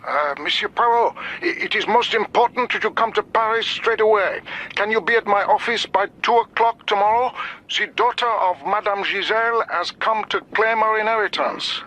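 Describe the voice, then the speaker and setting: A man's voice: sits high, moderate pace, sharp tone, rough recording. A man playing an urgent French official through a telephone filter in a radio drama.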